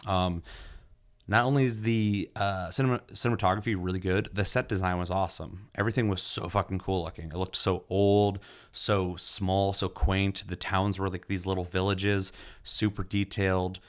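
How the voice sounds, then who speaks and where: A young man with a low-pitched voice talks casually about a film he enjoyed. He is loose and enthusiastic, full of ums and restarts, and now and then throws in a curse word. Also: steady tone, normal speed. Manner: loose and enthusiastic, many fillers, occasional swearing. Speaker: movie reviewer, podcast host. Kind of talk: casual film review chat.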